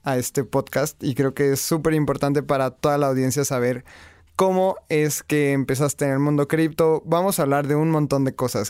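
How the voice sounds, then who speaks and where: A man's voice: medium pitch, darkish tone, clean tone, no echo. A man hosting a podcast interview in a studio, chatting and asking questions in a friendly way. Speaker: podcast host. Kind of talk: casual interview.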